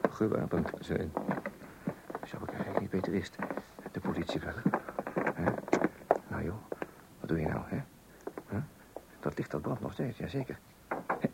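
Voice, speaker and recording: A man's voice: sits low, vocal fry, exaggerated voice. An actor playing a fussy, bossy middle-aged husband and father in a radio comedy, muttering and stammering. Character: flustered, bossy husband and father, hunting a burglar at night. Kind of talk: radio comedy play acting and narration. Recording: noisy, clicky old radio play recording.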